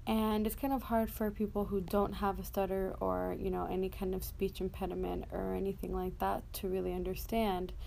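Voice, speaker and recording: A young adult woman hosting a personal motivational podcast, speaking casually and candidly in a halting way with an occasional stutter. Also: medium pitch, little pitch movement, gravelly, mellow. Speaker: podcast host. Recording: noticeable background noise.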